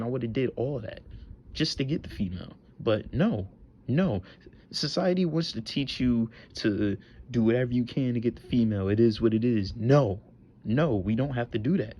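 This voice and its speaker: A man's voice: medium pitch, dark tone, highs cut off, a little hiss or hum. A man in his twenties hosting a solo podcast, talking earnestly with slang, repeated phrases and many fillers. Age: 20s. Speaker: podcast host.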